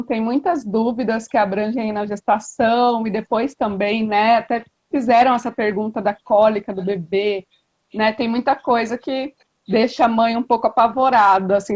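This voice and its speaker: A woman's voice: on the high side, darkish tone, dull audio. A Brazilian woman hosting a live video-call interview as a doula, chatty with frequent tag questions. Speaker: doula and host.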